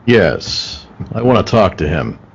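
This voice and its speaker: A man's voice: low voice, big pitch swings, takes it slowly, husky, full character voice. A man playing a firm, probing police detective in an old-time radio play, clipped and businesslike. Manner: clipped, questioning. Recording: muffled video-call audio.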